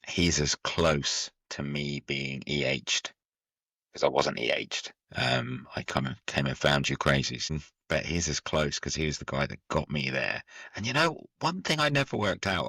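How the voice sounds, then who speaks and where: A man's voice: a bass voice, unhurried, slightly rough, no room sound. A man co-hosting a podcast, chatting casually and acting out what people said. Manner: animated, acts out quotes. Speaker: podcast co-host.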